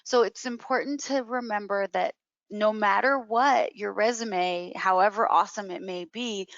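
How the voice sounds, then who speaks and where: Female career coach, emphatic explainer, mid-pitched, on muffled webinar audio. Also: fairly bright tone, no echo. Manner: emphatic, repeats key words for stress. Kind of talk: explanatory webinar advice with question and answer.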